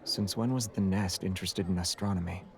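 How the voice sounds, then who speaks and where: An actor as a low-voiced, aloof young hunter. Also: male voice, steady tone, fairly quick, lightly acted. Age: young adult. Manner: terse, aloof, restrained. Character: cold, guarded young male fighter, loner bounty hunter. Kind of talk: video game voice acting. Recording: music behind the voice.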